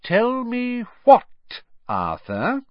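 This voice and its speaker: Man putting on a high-voiced formidable witch mum. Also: big pitch swings, measured pace, dry recording, muffled recording, exaggerated voice. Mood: suspicious, stern. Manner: sharp, suspicious, commanding. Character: short plump kindly but formidable witch mother.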